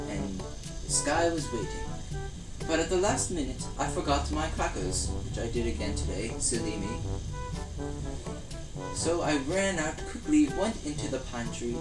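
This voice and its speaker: A man voicing a cheerful, daft British inventor who loves cheese, in a gentle ASMR cartoon parody, speaking in an unhurried, pottering way. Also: a little high, roomy sound, distant mic, low-quality recording. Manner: gentle, unhurried, pottering storytelling with small hums and asides.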